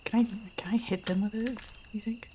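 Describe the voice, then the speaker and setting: A woman's voice: a little high, fairly even intonation, a little fast, background noise. A woman casually talking through a video game as she plays, chatty and muttering to herself. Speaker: gaming streamer. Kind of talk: casual let's-play commentary.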